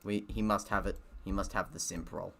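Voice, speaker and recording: A man's voice: deep voice, fairly even intonation, fairly quick, gravelly, fairly bright tone. A man reading a game aloud in a casual let's-play style, breaking off for self-deprecating, sweary asides.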